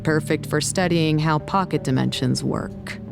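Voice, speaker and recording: A woman playing a pragmatic, sceptical research scientist in an audio drama, speaking in a dry, measured manner. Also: low-pitched, vocal fry, over music. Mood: dry, explaining. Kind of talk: naturalistic audio drama acting.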